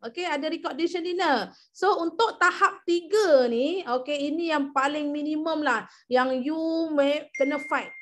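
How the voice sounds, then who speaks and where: A high-pitched young Malaysian woman briefs her sales team over a video call. She repeats the key figures and keeps checking that everyone follows. Her tone is coaxing and encouraging. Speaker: MLM team leader presenting an incentive program.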